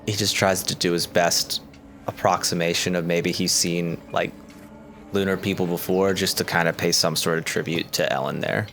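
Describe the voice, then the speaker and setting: A man's voice: sits low, fairly even intonation, moderate pace, fairly bright tone, a little hiss or hum. A man narrating his character's actions in a tabletop roleplaying game, rambling with lots of "kinda" and "like". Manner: rambling, fills gaps with "like" and "kinda". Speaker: player.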